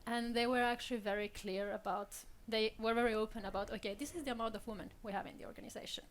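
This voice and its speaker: A woman's voice: a little high, steady tone, quick pace, a little hiss or hum. A young woman from Switzerland speaking candidly on a panel, laughing often between points. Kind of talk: panel discussion.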